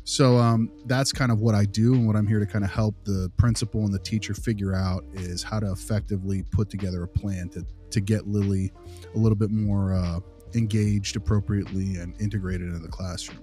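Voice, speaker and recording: A man's voice: lowish voice, level tone, a little fast, mellow, soft background music. A man speaking as a behavior analyst in an improvised phone role-play, polite and explanatory with hesitant phrasing. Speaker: behavior analyst, podcast host.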